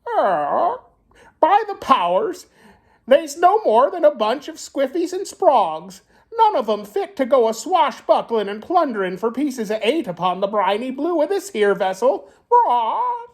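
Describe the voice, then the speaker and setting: A man voicing an excitable pirate parrot in a storybook, squawking out pirate talk. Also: very high-pitched, unhurried, voice pushed up, heavily acted. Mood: scornful.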